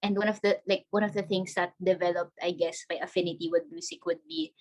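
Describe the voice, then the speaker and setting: A woman's voice: lowish voice, steady tone, fast talker, darkish tone. A young woman from the Philippines, a podcast guest, chatting in a bubbly way with frequent laughs and many "like"s over a laptop video call from her bedroom. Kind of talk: casual video-call interview.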